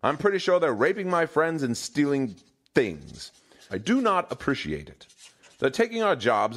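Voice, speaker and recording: A man's voice: fairly high pitch, pitched above the performer's own voice. A man playing a pompous, petulant, foolish king in a comedic fantasy audio drama, blustering with theatrical indignation.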